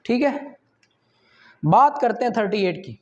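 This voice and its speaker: A man with a Pakistani accent lecturing law students, reading formal passages slowly and deliberately, then explaining them didactically. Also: extremely high pitch, lively intonation, darkish tone, dull audio.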